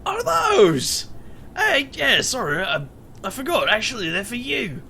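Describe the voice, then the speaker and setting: An actor playing a scatterbrained, playful, good-natured boss in a fantasy audio drama, stammering and jokey, with a gravelly edge. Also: mid-range voice, wildly swooping pitch, a little slow, sharp tone, heavily acted. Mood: sheepish. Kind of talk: audio drama character acting. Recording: faint noise.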